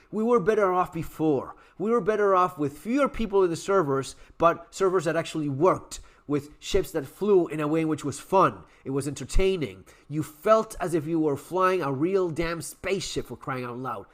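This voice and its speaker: A man giving a blunt, profane, exasperated rant about a video game while his voice is going hoarse. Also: on the high side, animated, normal speed, hardly any pauses. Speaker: gaming commentary YouTuber. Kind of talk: ranting video commentary.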